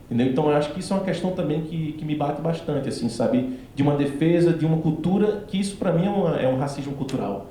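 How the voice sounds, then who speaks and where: A man's voice: a little high, fast, hardly any pauses. A man from Recife with a strong Pernambuco accent, telling a story animatedly in a casual discussion after a film screening. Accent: Pernambuco Northeastern Brazilian. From Recife, Pernambuco, Brazil. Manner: animated storytelling, laughs often. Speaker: actor, panel guest. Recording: very echoey hall, some noise.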